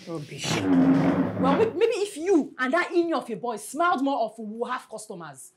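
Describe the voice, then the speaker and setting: A woman with a Nigerian accent playing a stern, exasperated mother who runs a restaurant and scolds with sharp questions in a film drama. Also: on the high side, huge pitch swings, normal speed, heavy background noise.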